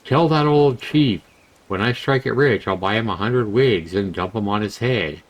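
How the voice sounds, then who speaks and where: A man acts out a cocky young male adventurer in a game story, always angling for money. His delivery is slangy and cajoling, pitched a little above the reader's low natural talk. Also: normal speed, lightly acted. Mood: indignant, boastful. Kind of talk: visual novel character reading.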